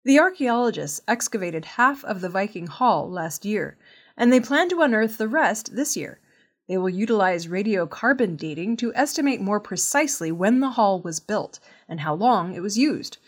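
A woman reading scripted news narration as an audio news presenter, in a measured, clearly enunciated way. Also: mid-range voice, animated. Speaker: news reader.

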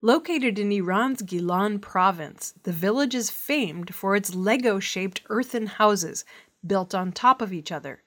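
Female news reader, mid-pitched voice. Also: lively intonation, a little slow. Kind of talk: scripted news narration.

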